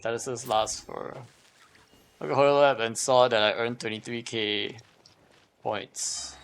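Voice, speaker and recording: A man's voice: medium pitch, steady tone, measured pace, creaky, a bit bright, clean tone. A man giving casual live gameplay commentary, thinking aloud as he repeats and questions himself. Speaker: game livestreamer.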